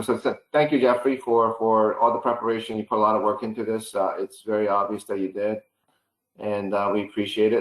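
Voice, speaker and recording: A man organizing a program, wrapping up a video call in a halting way with many fillers. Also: on the low side, echoey room, low-quality recording.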